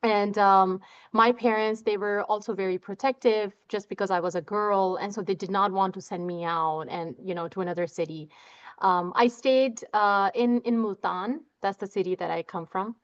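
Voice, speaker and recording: Halting Pakistani-born woman, smooth muffled voice. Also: mid-range voice, steady tone. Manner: halting, many fillers and repeated words. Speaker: guest. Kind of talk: personal story in an interview.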